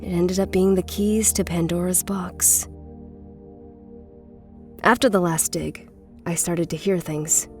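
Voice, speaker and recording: An actress playing a weary, guarded middle-aged woman with a bitter past in a naturalistic, measured audio drama performance. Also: fairly low pitch, steady tone, darkish tone, soft background music. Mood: haunted, confessional.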